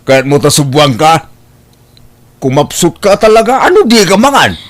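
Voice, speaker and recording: A man's voice: on the high side, sing-song, moderate pace. An actor playing a doting old father who fusses over and coaxes his grown daughter in a radio-style comedy drama. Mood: fussing, coaxing. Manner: fussing, coaxing, exclamatory.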